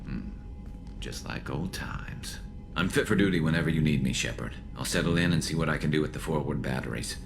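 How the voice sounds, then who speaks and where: A man's voice: brisk, gravelly. An actor playing a wounded male alien soldier, joking dryly. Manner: dry, joking. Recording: noisy rumble behind.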